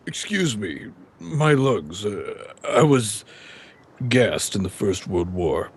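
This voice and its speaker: A man acting a courteous, sickly elderly psychic investigator with weak lungs in an old-time radio drama, speaking haltingly in a husky voice. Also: medium pitch, exaggerated voice. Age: older. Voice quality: husky, wheezy with coughing. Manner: halting, polite, deliberate.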